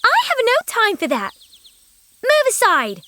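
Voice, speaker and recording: Woman as a shrill, spoiled, greedy girl. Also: huge pitch swings, a little slow, sharp tone, exaggerated voice. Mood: impatient, demanding. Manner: demanding, petulant. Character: lazy, greedy, spoiled girl with impatient temper. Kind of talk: fairy tale character acting.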